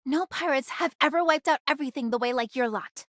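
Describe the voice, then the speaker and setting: A woman's voice: sits high, fast talker, a bit bright, no room sound, studio quality. A woman voicing a feisty, indignant young woman in an anime video game, speaking in an emphatic, scolding way. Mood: indignant, accusing.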